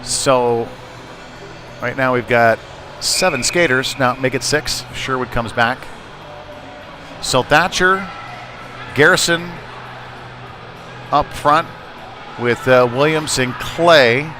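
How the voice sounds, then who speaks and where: A man's voice: medium pitch, takes it slowly, long pauses, sharp tone, faint music behind. A man doing live ice hockey play-by-play in a conversational way, thinking aloud as he goes. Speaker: play-by-play sports commentator. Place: indoor ice rink. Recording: noisy live rink broadcast.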